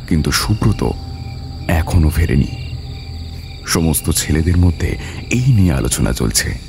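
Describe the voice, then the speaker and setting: A man's voice: a bass voice, creaky. A man reading a scripted story as its narrator, with dramatic delivery.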